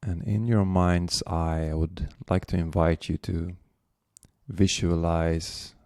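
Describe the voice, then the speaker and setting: Male meditation guide, gentle and very slow, long pauses, close dry sound. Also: sits low, fairly even intonation, no echo.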